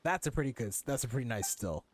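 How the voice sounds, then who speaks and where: A man's voice: medium pitch, expressive, quick pace, noisy background. A man giving casual, blunt and sarcastic playthrough commentary with swearing, game music underneath. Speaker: gamer reacting to a story quest.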